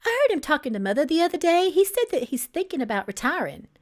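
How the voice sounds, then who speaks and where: High-pitched young bride-to-be as a woman reads her, animated, wheedling, nervous, manipulative, storybook dialogue. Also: fast. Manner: flustered, stammering, pleading.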